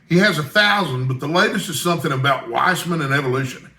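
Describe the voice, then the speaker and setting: A blunt, bookish bacteriologist, as acted by a male reader in a storybook reading. He is wry and opinionated, with a bright, slightly high voice for a man. The room rings noticeably around him. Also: animated, fast, roomy sound. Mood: gossipy, disapproving. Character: Tarp Henry, blunt, detached, gossipy bacteriologist and newspaperman. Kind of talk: audiobook character dialogue.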